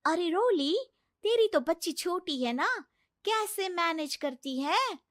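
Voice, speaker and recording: A chatty young office friend, put on by a woman narrator in a cartoon story. She talks casually and nosily in a high voice. The sound is dry. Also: expressive, takes it slowly, a bit bright, dry recording, heavily acted.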